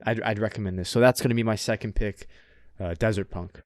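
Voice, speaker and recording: A man's voice: on the low side, steady tone, a little fast, no room sound, clean studio sound. A man co-hosting a podcast in casual chat, informal and sweary, repeating phrases for emphasis as he gives recommendations. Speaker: podcast co-host.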